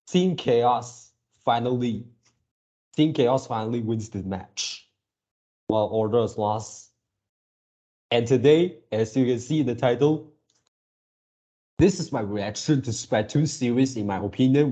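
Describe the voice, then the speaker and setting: Dark-voiced young man, halting delivery. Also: medium pitch. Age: early 20s. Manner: halting, repeats and rephrases himself. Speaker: video channel host. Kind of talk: casual reaction video talk to viewers.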